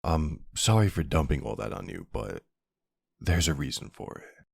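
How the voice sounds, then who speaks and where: A man playing a brooding, centuries-old vampire hunter of his own kind in an ASMR roleplay, speaking in a gravelly, deliberate, halting way. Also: a bass voice, sing-song, a little mellow, no room sound, studio quality, full character voice. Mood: earnest, resolute. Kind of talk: ASMR audio roleplay, scripted dramatic monologue.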